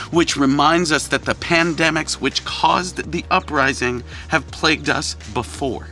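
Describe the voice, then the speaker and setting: A man, the director and producer, reading scripted closing credits in a measured, formal way with playful touches. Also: medium pitch, bright, forceful tone.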